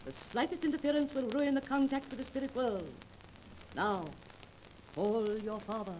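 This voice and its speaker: A grandiose swindling spirit medium played by a woman declaims in 1930s radio-drama style. Her voice sits fairly high and carries showy solemnity. The old broadcast recording is noisy. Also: moderate pace, a little mellow, close to an everyday voice. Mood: solemn, theatrical.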